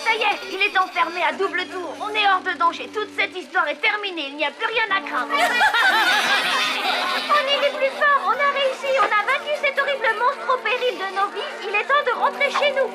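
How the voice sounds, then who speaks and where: A woman's voice: very high voice, expressive, a little fast, heavy background noise. A woman voicing an overdramatic, boastful child hero in a cartoon. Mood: triumphant. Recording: loud crowd behind. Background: music, crowd.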